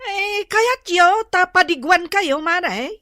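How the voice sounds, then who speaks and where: An actress playing a boastful, mocking, stingy village neighbor woman in an Ilocano radio comedy drama, with a taunting, drawn-out delivery. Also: extremely high pitch, animated, pushed and bright, no room sound, clean studio sound, heavily acted. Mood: smug, teasing.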